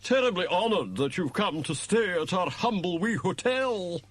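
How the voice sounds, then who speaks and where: A man voicing a fearsome, blustering Scottish laird in a storybook, with a loud, gruff Scottish accent. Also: high voice, expressive, normal speed, dry recording, voice pushed up. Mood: oily politeness.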